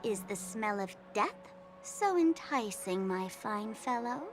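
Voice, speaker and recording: A woman's voice: medium pitch, animated, slow, over music, rough recording. An actress playing a mischievous young female jester in a video game, playfully scornful and taunting. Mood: mocking. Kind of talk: scripted video game dialogue.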